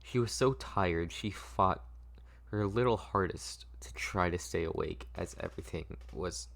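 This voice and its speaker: A man's voice: low-pitched, unhurried, creaky, fairly bright tone. A man narrating a scripted story informally at a table read, stumbling over the script as he goes.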